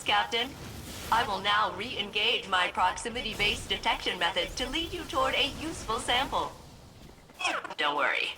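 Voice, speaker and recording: A woman voicing a quirky, eager, helpful female ship AI in a video game, chirpy, robotic and expressive. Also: mid-range voice, natural voice. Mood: cheerful, helpful.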